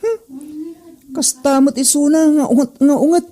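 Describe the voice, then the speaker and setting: Woman acting high, mellow cheeky schoolboy Joel. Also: steady tone, normal speed, lightly acted. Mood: mischievous. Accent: Ilocano. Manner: teasing, mischievous. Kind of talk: comedy drama acting.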